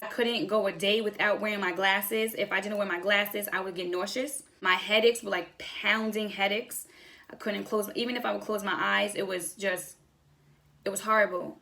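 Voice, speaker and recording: A woman's voice: mid-range voice, creaky, bright, forceful tone. A young woman telling a personal story in a casual vlog style, acting out bits of conversation as she goes. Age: young adult. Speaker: vlogger.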